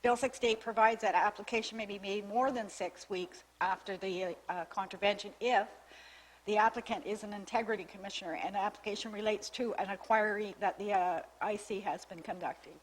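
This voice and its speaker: A woman's voice: mid-range voice, fairly even intonation, a little fast, rough recording. A woman on a town's staff, losing her voice, giving a formal, methodical briefing on new legislation to a council meeting in a council chamber, reading out clauses with small restarts. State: losing her voice, with frequent coughs. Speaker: municipal staff member presenting to town council.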